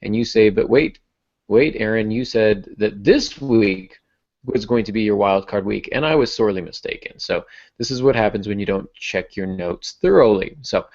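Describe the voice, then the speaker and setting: Podcast host, male, low gravelly voice, casual and self-mocking, slightly dull lo-fi sound. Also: normal speed, darkish tone. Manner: self-deprecating joking. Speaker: podcast host and fiction writer.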